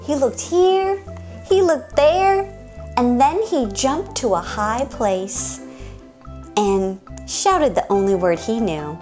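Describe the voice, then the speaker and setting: A woman hosting story time, reading aloud to young children in an expressive, deliberate storytelling manner. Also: fairly high pitch, faint music behind.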